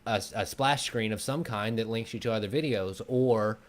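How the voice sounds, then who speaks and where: A man's voice: on the low side, moderate pace. A man talking as a guest in a casual conversation, explaining things as an online video creator.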